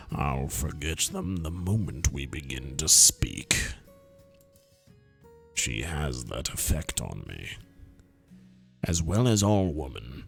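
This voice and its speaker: A man in his twenties with a strained, tiring voice, reading a visual novel aloud in a slow, deliberate storybook manner with dry self-mocking asides. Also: low voice, creaky, a little mellow, breathy edge. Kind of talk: dramatic read-through of game text with asides to friends.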